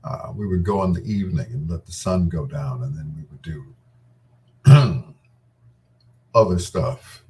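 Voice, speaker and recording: Middle aged guy rambling about old memories, real slow and unhurried, a bit off the mic. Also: deep voice, expressive, warm tone, distant mic. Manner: informal, candid, playful storytelling with self-quoting. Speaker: host. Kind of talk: casual rambling reminiscence.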